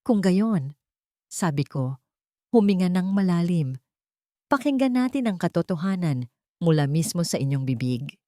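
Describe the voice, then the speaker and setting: A woman narrating a scripted drama in the first person, with deliberate, dramatic short phrases. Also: fairly low pitch, very animated, warm tone, dry recording, studio quality. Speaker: story narrator.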